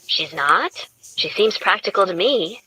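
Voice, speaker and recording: A woman voicing a soft-spoken, lovesick young woman with a placating manner. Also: mid-range voice, lively intonation, a little slow, a little hiss or hum, poor audio. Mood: gentle. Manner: placating, gentle.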